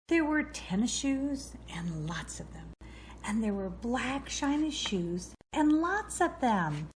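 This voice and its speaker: A woman reading a children's storybook aloud in a deliberate way. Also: mid-range voice, darkish tone, slight background noise. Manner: slow, deliberate narration. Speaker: storybook reader.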